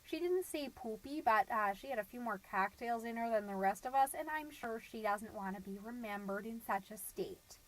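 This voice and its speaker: A woman's voice: a little high, normal speed, few pauses, lightly acted, rough recording. A woman playing a middle-aged suburban stay-at-home mom, gossipy and naive, rambling through a comedic podcast monologue with folksy, dramatic emphasis. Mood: indignant, judgmental. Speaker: podcast host.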